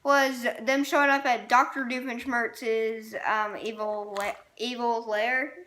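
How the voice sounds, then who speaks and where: A woman's voice: a little high, level tone, slow pace, bright, forceful tone, a little hiss or hum. A woman co-hosting a casual cartoon review, hesitant and steering the talk with questions. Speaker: co-host asking questions.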